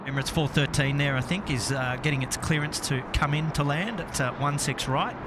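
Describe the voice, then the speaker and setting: A man's voice: fairly high pitch, fairly quick, noisy background. An Australian man hosting a casual live plane-spotting stream outdoors, talking in a hesitant, rambling way. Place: outdoors near an airport at night.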